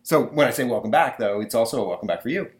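A man's voice: mid-range voice, lively intonation, fast talker, slight background noise. A man hosting a podcast, chatting casually with lots of fillers and restarts. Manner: rambling, many fillers and restarts. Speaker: podcast host. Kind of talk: casual chat.

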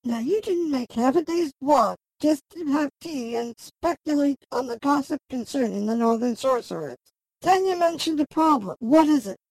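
A woman playing a brisk, grand, eccentric sorceress who commands others in a fantasy audio drama. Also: high-pitched, animated, a little mellow, dry recording, rough recording. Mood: inquiring.

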